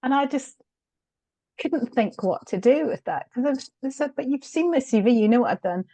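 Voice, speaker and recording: A woman's voice: high-pitched, expressive, moderate pace, warm tone, dry recording. A middle-aged British woman, a guest on an interview show, reflecting on her work in a candid, conversational way, with frequent laughs and fillers. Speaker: guest, change consultant.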